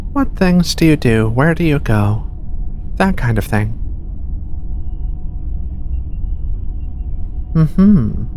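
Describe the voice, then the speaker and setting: An ASMR performer playing a giant alien researcher, soft-spoken, gentle, amused and reassuring in a medical exam roleplay. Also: medium pitch. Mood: calm, attentive. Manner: soft-spoken, reassuring, unhurried with hesitant murmurs. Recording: steady ambient noise of ship sounds. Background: ship ambience.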